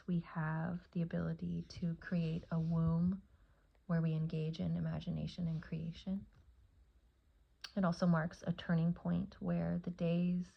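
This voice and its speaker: A woman leading a guided meditation as a yoga nidra teacher, speaking in a soothing, unhurried way with long pauses between short phrases. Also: lowish voice, creaky, highs cut off.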